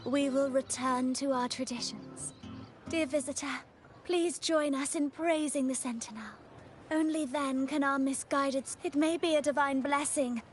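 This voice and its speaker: A woman's voice: high-pitched, close to an everyday voice. An actress playing a devout, apologetic woman of a religious order in a video game, speaking in a formal, reverent, preachy way.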